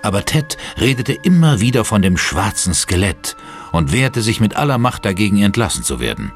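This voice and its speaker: A man's voice: lowish voice, sing-song, normal speed. A man narrating a horror audio drama in a dramatic, scripted style. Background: music.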